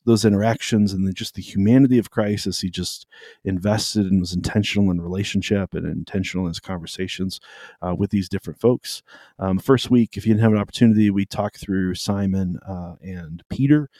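Low, mellow man chatting on a podcast. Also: brisk, dry recording. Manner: loose, rambling, filler-heavy talk. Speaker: podcast co-host. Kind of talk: casual faith podcast chat.

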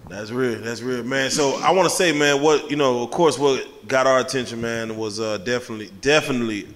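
A man's voice: mid-range voice, brisk, fairly bright tone. A man hosting a casual interview, asking long, rambling questions full of fillers. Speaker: podcast host. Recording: long reverb in a room.

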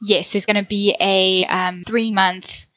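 A woman's voice: medium pitch, little pitch movement, takes it slowly, a bit bright, no room sound. South african woman on a podcast, upbeat, laughs a lot, dry close mic. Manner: upbeat, laughs easily, explains plainly. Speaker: podcast guest, course creator. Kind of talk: podcast interview.

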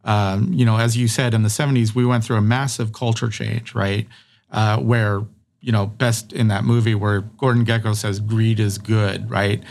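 A man's voice: fairly low pitch, little pitch movement, moderate pace, creaky, a little mellow. A middle-aged man hosting a conversational podcast, rambling through his thoughts with many fillers and restarts.

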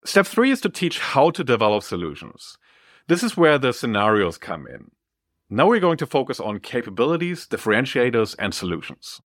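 A man reading a scripted business training article as narrator, in a clearly articulated, instructive way. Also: mid-range voice, big pitch swings, normal speed, a bit bright, no room sound, studio quality.

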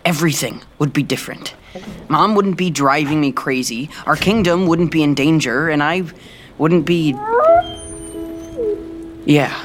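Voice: medium pitch, unhurried, long pauses, faint music behind, close to an everyday voice. An actor playing an earnest, frustrated teenage prince in an audio drama, youthful and a little petulant. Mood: sulky, wistful.

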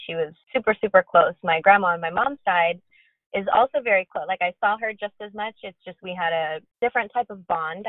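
A woman's voice: fairly low pitch, dry recording. A woman co-hosting a podcast, telling a story in an earnest, emphatic way. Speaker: podcast co-host. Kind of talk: casual conversational podcast. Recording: tinny phone-like line.

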